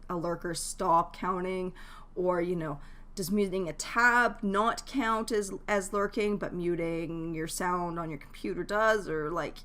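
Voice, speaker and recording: A woman's voice: fairly low pitch, a bit bright. A woman streamer giving casual advice, thinking aloud and leaning on key words as she goes.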